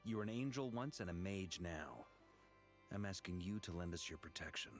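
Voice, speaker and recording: An actor voicing a seasoned, pragmatic knight commander in a video game, talking in a measured, informal way. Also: male voice, low voice, expressive, faint music behind. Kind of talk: scripted video game dialogue acting.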